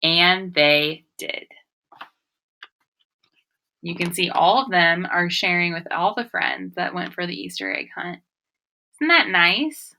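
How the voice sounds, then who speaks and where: Young woman doing a read-aloud lesson for little kids, really bright voice, slow and sing-songy. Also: on the low side, gravelly. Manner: expressive, sing-song, addressing children with questions. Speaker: teacher reading aloud to young children. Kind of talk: read-aloud story and phonics lesson for children.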